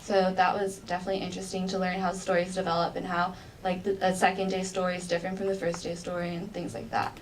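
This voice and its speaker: A woman's voice: lowish voice, completely flat delivery, fast talker, hardly any pauses. A young adult woman, a college student, answering questions politely and earnestly in a mock job interview, with frequent ums and likes. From United States. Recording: distant mic with faint background noise.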